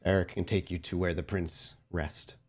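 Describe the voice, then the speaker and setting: A man playing a reserved male courier for a vampire prince in a tabletop game, speaking in a deliberate, terse, businesslike manner. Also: low voice, level tone, voice pushed down, close to an everyday voice. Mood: solemn, businesslike.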